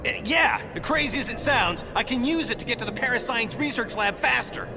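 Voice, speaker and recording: A man's voice: extremely high pitch, a little fast, creaky. A man voicing an impatient, intense scientist superhero in a video game, urgent and curt. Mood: intent. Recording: music behind the voice.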